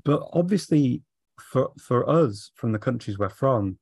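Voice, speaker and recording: Brief: middle-aged male interviewer, gravelly low voice, dry close sound. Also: expressive, measured pace, a little mellow, dry recording. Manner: conversational, probing questions with hedging fillers. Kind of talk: casual video call chat. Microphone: webcam video call.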